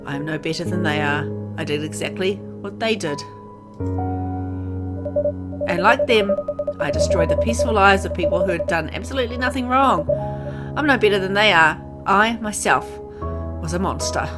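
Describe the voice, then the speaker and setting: A woman's voice: mid-range voice, close to an everyday voice. Woman voicing a brooding, vengeful grieving father over music. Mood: remorseful. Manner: dramatic, brooding, deliberate phrasing. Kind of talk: dramatic visual novel read-aloud. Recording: music under the voice.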